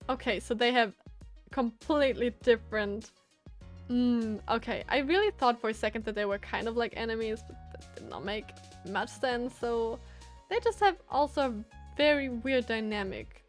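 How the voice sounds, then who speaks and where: A woman with a high voice streams a visual novel. She moves between read-aloud narration and casual commentary, thinking aloud hesitantly over faint background music.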